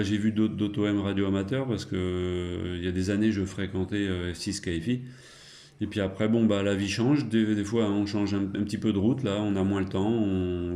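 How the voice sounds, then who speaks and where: A man's voice: fairly low pitch, mellow. A middle-aged man chatting casually and colloquially as an amateur radio operator. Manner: chuckling, colloquial, trailing tag words. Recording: roomy, echoing room sound.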